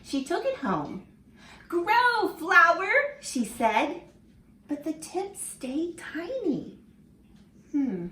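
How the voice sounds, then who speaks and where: A woman teacher reading a picture book aloud to children in an animated way, stopping to ask them questions. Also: sits high, takes it slowly, pauses often, a little hiss or hum. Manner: animated, asks the listener questions. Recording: echoey room with faint ambience.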